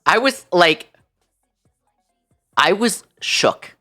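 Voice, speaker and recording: A woman livestream host telling a story casually, in an animated, incredulous, slangy way. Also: lowish voice, extremely slow, faint music behind.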